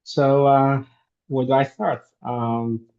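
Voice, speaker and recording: A man, answering a podcast interviewer in an earnest, halting way full of restarts. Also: medium pitch, flat delivery, darkish tone, highs cut off. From Morocco. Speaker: podcast guest.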